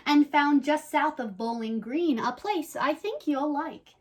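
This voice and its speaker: A woman's voice: high-pitched, animated, a little slow. A woman hosting a children's show, reading a picture book aloud expressively and explaining things simply. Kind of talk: expressive read-aloud storytelling with playful chat.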